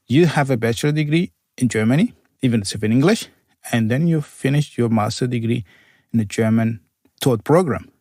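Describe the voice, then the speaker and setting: Gravelly-voiced man, Arabic native speaker. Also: mid-range voice, a little mellow, dry recording, clean studio sound. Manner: careful, searching for words, slightly non-native phrasing. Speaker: university program coordinator. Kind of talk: conversational interview answers.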